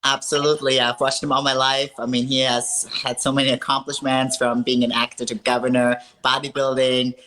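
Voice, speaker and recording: Austrian-born woman, 30s, strength athlete guest; bright tone, sincere, cheerful storytelling. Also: very deep voice, little pitch movement, fairly quick, few pauses, low-quality recording. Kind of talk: casual interview on a video call.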